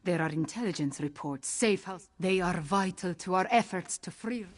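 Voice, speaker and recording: A woman's voice: fairly low pitch, normal speed, lightly acted. A woman playing a grave, determined rebel militia leader in a video game, speaking earnestly and formally. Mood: resolute. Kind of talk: video game character acting.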